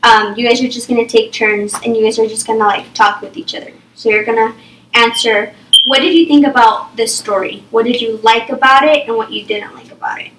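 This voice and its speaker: A young woman leads a small reading group through a story, thinking aloud and tagging almost every point with "okay?" or "right?". Her voice is bright and sits a little high. She is picked up from a distance in an echoey classroom. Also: steady tone, fairly quick, slight background noise. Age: young adult. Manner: checks in with "okay?" and "right?" constantly. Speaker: teacher. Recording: echoey room, far from the mic.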